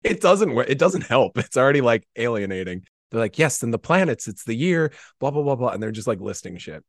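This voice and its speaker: A man chatting casually as a podcast guest in a rambling, animated, slangy way. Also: medium pitch, fairly quick, no room sound, studio quality. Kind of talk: casual chat.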